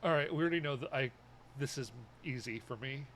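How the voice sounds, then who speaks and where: A man's voice: mid-range voice, fairly quick, fairly bright tone, a little hiss or hum. A man chatting casually with friends in short, easygoing remarks. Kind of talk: casual group chat.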